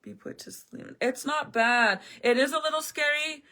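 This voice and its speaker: A woman's voice: high-pitched, moderate pace, bright, forceful tone. A woman streamer in casual chat with her viewers, blunt and chatty, swearing freely, whose first language is Spanish. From American Southwest. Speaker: live streamer.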